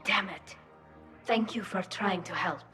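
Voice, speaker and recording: A woman's voice: mid-range voice, unhurried, bright, forceful tone, soft background music. An actress playing an earnest, desperate alien woman in a video game, pleading urgently. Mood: desperate, frustrated. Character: earnest, desperate young female alien pleading for her people.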